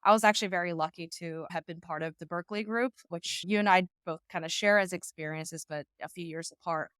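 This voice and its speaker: A woman in her thirties, a founder from the US, speaks in a fairly low voice. She talks articulately and reflectively about her work. Her voice is dry and close-miked. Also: fairly quick, fairly bright tone, clean tone, no echo. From United States. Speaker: startup founder and CEO, guest. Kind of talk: podcast interview.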